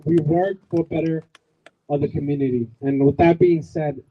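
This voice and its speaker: A man's voice: fairly high pitch, fairly even intonation, normal speed, muffled recording. A man from Bangladesh giving an emphatic outdoor community speech, rallying the crowd with repetition and jokes. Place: outdoors at a street corner.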